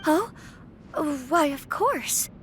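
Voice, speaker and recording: A high-voiced, gentle young noble lady from a video game. Her melody swings widely while she stays courteous, demure and formal. There is noise behind her voice. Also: animated, extremely slow, exaggerated voice. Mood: polite, curious. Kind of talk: scripted video game voice acting.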